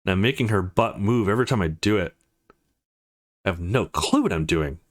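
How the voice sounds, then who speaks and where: A man's voice: deep voice, expressive, fairly quick, voice pushed down. A man voicing an awkward, nervous young male protagonist of a visual novel, as if muttering his inner thoughts. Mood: flustered.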